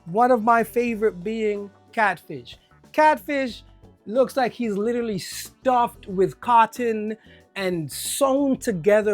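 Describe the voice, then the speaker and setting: A person with a Jamaican accent giving a casual video game review, enthusiastic and often repeating words when excited. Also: medium pitch.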